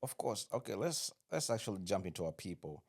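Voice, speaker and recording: A man hosting a podcast, welcoming his guest with upbeat enthusiasm and quick affirmations. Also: lowish voice, sing-song, darkish tone, husky. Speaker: podcast host.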